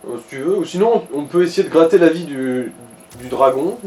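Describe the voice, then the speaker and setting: A man's voice: on the high side, expressive, a little fast, dark tone, faint music behind. A man making wry, slangy remarks as co-host of a casual video game playthrough. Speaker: let's play co-host. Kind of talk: casual gaming commentary with a co-player.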